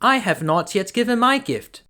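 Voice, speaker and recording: A man voicing a gentle, reassuring fairy godmother in a storybook, earnest and soothing. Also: high-pitched, animated, normal speed, pitched above the performer's own voice.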